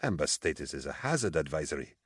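A man voicing a level-headed army captain in an audiobook, measured, understated and dry. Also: low-pitched, lively intonation, dry recording. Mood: dry, puzzled. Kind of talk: audiobook character acting.

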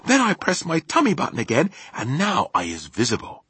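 Man playing boastful young schoolboy, storybook, naive, excitable, husky, very swoopy pitch. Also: brisk, heavily acted. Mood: amazed. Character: excitable, boastful young schoolboy telling his fantastic dreams.

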